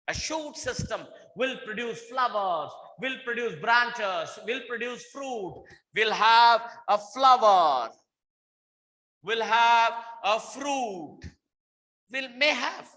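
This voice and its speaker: A man's voice: extremely high pitch, animated, takes it slowly, sharp tone, big-room sound. A man with an Indian English accent, teaching a botany lesson online, repeating his points and questioning students, sometimes switching into Hindi.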